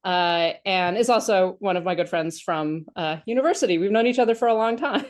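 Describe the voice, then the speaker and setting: A woman's voice: medium pitch, brisk, darkish tone. A woman in her thirties hosting a casual podcast, chatting with restarts and fillers and laughing often. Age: 30s. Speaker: podcast host.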